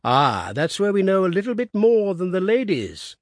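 A man's voice: fairly high pitch, very animated, a little slow, fairly bright tone, dry recording, clean studio sound, pitched above the performer's own voice, exaggerated voice. A man voicing a hearty, bluff older boss of a firm. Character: hearty, stout, commanding older male boss.

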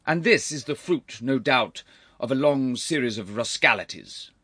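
A man's voice: mid-range voice, big pitch swings, moderate pace, a bit bright, slightly rough, no room sound, pitched above the performer's own voice. A man voicing a haughty, irascible older aristocratic uncle in a storybook reading, stern and pompous, with cutting formal phrasing. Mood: acid, commanding. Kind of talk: audiobook character voice.

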